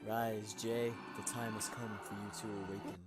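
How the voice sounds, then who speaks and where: A man's voice: on the low side, flat delivery, normal speed, natural voice. A man voicing a solemn, mysterious spirit in a video game, speaking formally and portentously. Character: solemn mysterious spirit calling a sleeper to destiny. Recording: loud game music behind.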